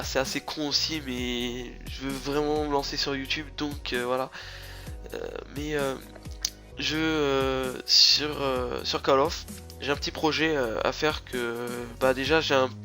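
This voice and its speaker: A man's voice: mid-range voice, pushed and bright. A young man with a stuffy, nasal voice from a cold, rambling casually with lots of fillers and restarts as he introduces his gaming channel.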